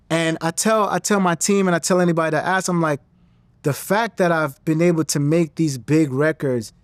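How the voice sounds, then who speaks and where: A man, talking in an interview in a confident, animated way and often restarting his sentences. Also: on the high side, no room sound. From Nigerian-American. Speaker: interview guest, singer and actor. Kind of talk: casual interview talk.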